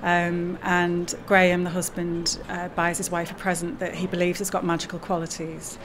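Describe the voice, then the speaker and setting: A woman in her forties gives an interview in a large hall. Her voice sits fairly low and moves very little in pitch. Clear background noise surrounds her. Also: completely flat delivery, normal speed. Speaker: screenwriting lecturer and writer. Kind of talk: interview about own film. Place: large screening hall, indoors. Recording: noisy room with background ambience.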